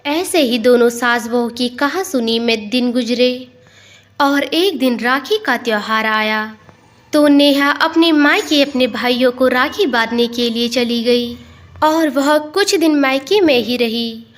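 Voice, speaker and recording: Woman narrator reading a story, voice a bit on the high side.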